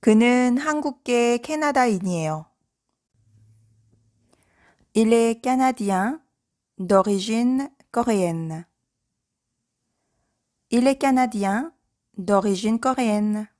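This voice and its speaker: A woman language teacher reading out short scripted example sentences for practice, with careful, measured enunciation. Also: fairly high pitch, animated, darkish tone. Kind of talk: scripted language drill, sentences read out and repeated.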